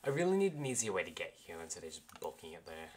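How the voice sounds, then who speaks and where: A young man with a very deep, low voice, talking in a low-key way as he plays. He mutters and swears at the game, with faint keyboard clicks and noise behind him. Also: sing-song, racing, a little mellow. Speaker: gamer. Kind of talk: casual gaming chat.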